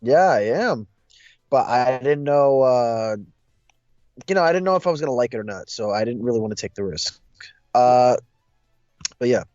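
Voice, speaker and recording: Halting young man, dry dull recording. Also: mid-range voice, lively intonation, a little mellow, no echo, faint music behind. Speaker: discussion co-host. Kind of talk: casual chat.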